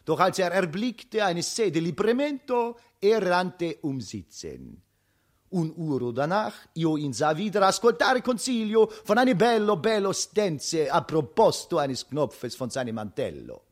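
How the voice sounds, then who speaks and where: An actor playing an excitable storyteller with a mock Italian accent, reciting theatrically for comic radio. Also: male voice, high voice, measured pace, full character voice.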